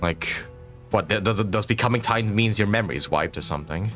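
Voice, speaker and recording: A male game streamer talks casually over gameplay in a low voice. He muses aloud in short asides, with faint music behind him. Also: fast. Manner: musing, muttered asides. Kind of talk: casual live gameplay commentary.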